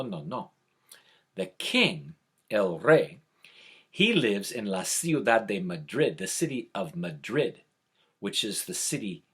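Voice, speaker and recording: A man's voice: lowish voice, a bit bright, breathy edge, voice pushed down, heavily acted. A man voicing a worried, protective mother hen in a storybook tale, scolding and pleading by turns.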